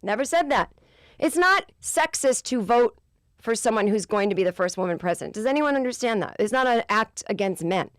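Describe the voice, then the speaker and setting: A woman's voice: lively intonation, fairly quick, no room sound, studio quality. Exasperated woman host ranting, profane. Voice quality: low voice. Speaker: podcast host. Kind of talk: casual comedic monologue.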